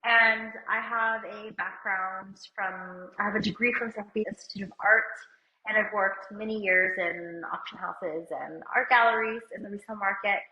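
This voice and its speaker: A woman's voice: mid-range voice, steady tone, normal speed. A woman co-hosting a casual business chat, talking easily with a slight cold and filling her speech with "like". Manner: chatty, uses "like" often, laughs lightly. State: has a cold. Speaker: podcast co-host and company CEO. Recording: roomy, somewhat dull sound.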